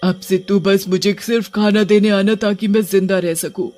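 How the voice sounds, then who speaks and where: A man voicing a cursed old grandmother witch, menacing yet tender, in an animated horror bedtime story. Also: high voice, normal speed, faint music behind, close to an everyday voice. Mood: solemn, tender.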